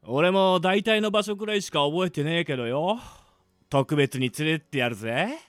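A man playing a scheming, folksy ghost with rough, wheedling speech in a radio drama. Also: fairly high pitch, animated, moderate pace, dry recording, faint music behind, close to an everyday voice. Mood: sly, coaxing. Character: scheming rough male ghost, folksy drinker, falsely friendly. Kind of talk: amateur radio drama acting.

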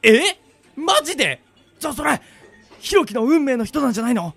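A man's voice: extremely high pitch, sing-song, a little fast, creaky, fairly bright tone, slightly rough, dry recording, full character voice. A man playing a laid-back, blunt young coworker and friend in a radio drama, talking casually and in slang. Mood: surprised. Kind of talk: amateur radio drama acting.

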